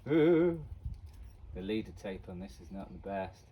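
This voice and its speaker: A man's voice: low voice, sing-song, moderate pace, warm tone. A man giving casual, unscripted commentary as a vlogger, rambling and muttering to himself. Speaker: amateur vlogger going through old tapes. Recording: very noisy amateur recording with clicks.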